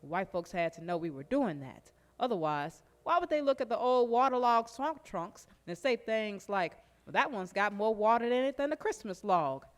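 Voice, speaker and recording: An actress performs a lively plantation servant in a theatrical folk monologue. The telling is sly, fond and animated, with folksy turns of phrase and a medium-pitched voice. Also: female voice, moderate pace, few pauses, natural voice. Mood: sly, gleeful. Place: live stage performance in a recreation hall.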